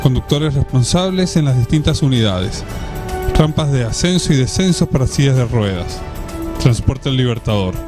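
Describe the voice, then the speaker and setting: A man reading a transport company ad as a steady voice-over. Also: medium pitch, normal speed, over music. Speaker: announcer.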